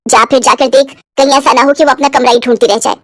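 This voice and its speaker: A woman's voice: sits high, fairly even intonation, quick pace, no room sound, studio quality. A woman voicing a middle-aged Indian mother-in-law in a moral story, bossy and melodramatic, with sarcastic scolding. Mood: suspicious. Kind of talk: acted dialogue in a moral story. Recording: music behind the voice.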